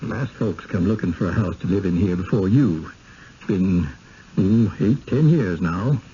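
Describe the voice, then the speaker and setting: A folksy village servant talks in a halting, homespun rural American drawl. The voice is mellow and swings up and down, uneasy and plain-spoken, in an old radio play with hiss. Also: male voice, sits low, expressive. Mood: urgent, foreboding. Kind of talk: 1970s radio drama acting. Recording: muffled, noisy old radio broadcast.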